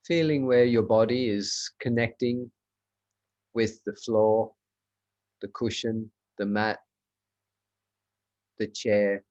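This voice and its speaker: Male meditation teacher, unhurried pace, short spaced phrases, measured instructional delivery. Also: medium pitch, a little mellow. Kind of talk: guided meditation.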